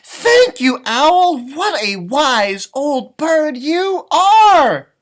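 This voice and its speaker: A man plays an excitable storybook rabbit with a high, swooping voice. The rabbit is grateful and exclaims everything in drawn-out words. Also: heavily acted. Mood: overjoyed, grateful.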